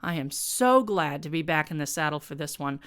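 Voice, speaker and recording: A woman reads the part of an older male film director in a comic story, in a smooth, clear voice. He is nostalgic and aggrieved, grumbling as he defends his work. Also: low voice, animated, moderate pace, fairly bright tone. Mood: wistful. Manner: grumbling, self-justifying.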